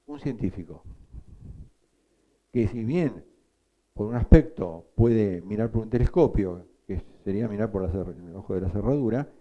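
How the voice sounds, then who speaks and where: A man teaching a psychoanalysis class, explaining slowly and quizzing his students. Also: fairly low pitch, warm tone, muffled recording. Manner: slow, explanatory, asks the class questions. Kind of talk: lecture.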